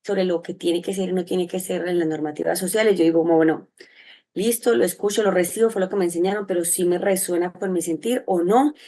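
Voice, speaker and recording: A woman's voice: fairly low pitch, fairly even intonation, fairly quick, warm tone. Colombian woman telling her story in conversation. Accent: Colombian, from Medellín. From Medellín, Colombia. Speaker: interview guest, sexologist and psychologist. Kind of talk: conversational interview answers.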